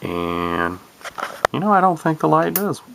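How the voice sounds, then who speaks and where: A man's voice: on the high side, very animated, a little slow, gravelly. A man casually demonstrating radio gear, thinking aloud in a hesitant, self-qualifying way. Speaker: radio hobbyist demonstrating a receiver. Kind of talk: casual unscripted demonstration chat. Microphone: camera microphone. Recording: noisy camera recording beside shortwave radio.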